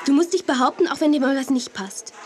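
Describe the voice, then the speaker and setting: An actress playing a sensible, encouraging young TV presenter in a children's radio play. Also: female voice, high voice, brisk, darkish tone, a little hiss or hum, natural voice.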